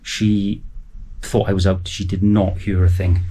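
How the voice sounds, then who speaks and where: A man's voice: low voice, little pitch movement, a little slow, dark tone, a little hiss or hum, low-quality recording. A man in his forties, chatting enthusiastically and a little rambly to camera from his small home studio. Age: 40s. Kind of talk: casual chat to camera. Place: small sound-proofed garage studio.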